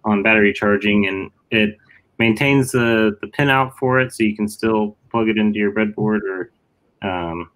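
A man with a lowish voice presents his own project casually. He is a guest maker, somewhat hesitant, and often lets his sentences trail off. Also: normal speed, a bit bright, rough recording. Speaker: show-and-tell guest, maker and seller.

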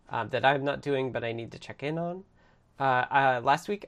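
A man's voice: medium pitch, vocal fry, fairly bright tone. A man hosting a casual online team meeting, passing the turn along and giving updates, sometimes reading from notes. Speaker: meeting host.

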